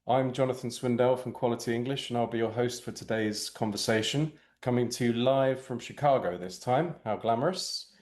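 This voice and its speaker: A man's voice: mid-range voice, a little fast, hardly any pauses, a little mellow. A man hosting a podcast interview, reading scripted intros in a polished manner and handing over to guests. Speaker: podcast host.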